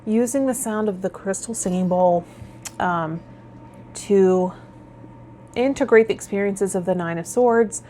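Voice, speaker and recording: A woman hosting a tarot vlog, speaking in a measured, instructive way as a spiritual guide. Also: mid-range voice, dark tone, slight background noise. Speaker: host of a tarot and spiritual healing channel. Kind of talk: tarot vlog, part read-out guidance, part informal talk.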